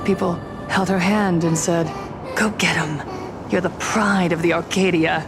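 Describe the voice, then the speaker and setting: A woman voicing a bitter, wounded young woman in a game, narrating dramatically in a measured, storytelling way. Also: fairly low pitch, steady tone, over music, close to an everyday voice. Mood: sombre, bittersweet.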